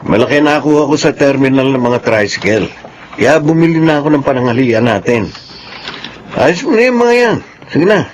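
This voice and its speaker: An actor playing a burly, boastful, threatening husband and street extortionist in a Filipino-accented radio drama. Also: male voice, medium pitch, animated, moderate pace, lo-fi sound, slight background noise, lightly acted. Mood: casually defiant. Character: tall, burly, bullying husband and swaggering neighbourhood extortionist. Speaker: radio drama actor and first-person narrator. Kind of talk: Philippine radio drama acting.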